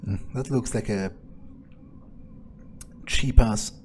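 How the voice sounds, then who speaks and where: A man's voice: fairly low pitch, slow, a little mellow, slight background noise. A man thinking aloud in character while playing a video game, musing and casually profane. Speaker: let's-play gamer speaking in character.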